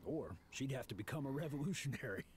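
An actor playing a gruff, bearded older outlaw in a video game, talking fondly of a lost love and calling the listener "young blood". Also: male voice, mid-range voice, expressive, fast talker, close to an everyday voice. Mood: rueful.

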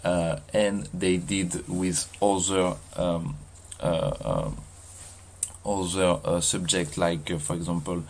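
A man with a heavy French accent talks to his camera while walking outdoors. His voice sits very low and he hesitates often, filling pauses and repeating words. A faint hum of outdoor ambience runs underneath. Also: flat delivery, darkish tone. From France. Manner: halting, many fillers and restarts. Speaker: vlogger. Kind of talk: casual walking vlog. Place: outdoors in a seaside mountain town.

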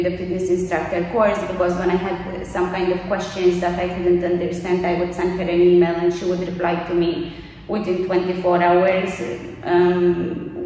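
A woman's voice: lowish voice, completely flat delivery, moderate pace, warm tone, a little hiss or hum. A woman in her thirties from Bulgaria with a Bulgarian accent, a non-native English speaker giving an earnest interview testimonial as a course graduate. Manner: earnest, with frequent fillers and repetitions. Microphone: camera mic from a distance. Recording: echoey room, voice from a distance.

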